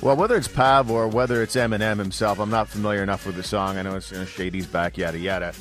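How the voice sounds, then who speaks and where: A man's voice: on the low side, steady tone, fast, a bit bright, faint music behind. A man co-hosting a sports radio show, bantering casually with dry sarcasm. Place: radio studio.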